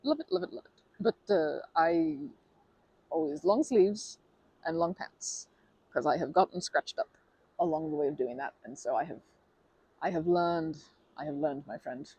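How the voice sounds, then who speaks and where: A woman's voice: deep voice, expressive, normal speed, vocal fry, long pauses, warm tone, breathy edge, no room sound, full character voice, low-quality recording. A woman voicing a polite, awkwardly formal stranger in an ASMR roleplay, rambling with many fillers and self-corrections. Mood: shy rambling.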